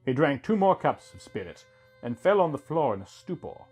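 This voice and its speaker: A man's voice: fairly high pitch, big pitch swings, moderate pace, darkish tone, husky. A man reading a scripted first-person story as its narrator. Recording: music bed under the voice. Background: music.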